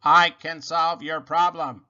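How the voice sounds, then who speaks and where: A polite, unflappable robot, acted by a man, stiff and forceful in delivery. The words come out slowly and deliberately, as in a storybook read aloud, with slightly muffled sound. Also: fairly high pitch, level tone, pitched above the performer's own voice, exaggerated voice. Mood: loud, triumphant. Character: calm literal-minded male robot, polite and unflappable.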